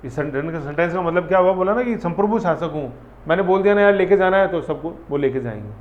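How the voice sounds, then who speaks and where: A man's voice: fairly high pitch, animated, fast. A man teaching an exam-prep history lesson in a chatty, repetitive way, often quizzing his students. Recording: echoey room, dull audio, faint ambience.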